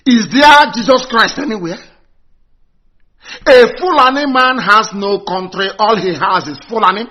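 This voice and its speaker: A man's voice: high voice, bright, forceful tone. Impassioned man on a lo-fi stream. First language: Igbo. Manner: fervent and agitated, crying out exclamations and rhetorical questions. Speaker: broadcaster of a live stream. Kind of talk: impassioned live-stream address.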